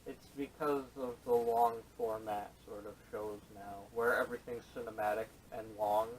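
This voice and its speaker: A man's voice: fairly low pitch, steady tone, moderate pace. A man chatting casually on a podcast in a blunt, opinionated way, recorded through a distant camera microphone. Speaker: podcast panelist. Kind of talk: casual chat. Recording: distant camera audio with noise.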